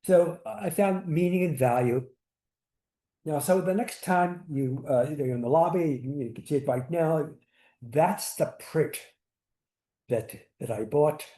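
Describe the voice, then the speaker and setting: An older man telling personal stories on a community panel, halting and restarting, sprinkling in Yiddish words. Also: fairly high pitch, dark tone. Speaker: synagogue founder on a panel. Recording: echoey room.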